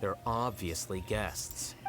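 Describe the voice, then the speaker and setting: An actor playing a curt, composed young male scholar in a video game, with a dry, deadpan, dismissive manner. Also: on the low side, takes it slowly, over music. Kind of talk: video game dialogue acting.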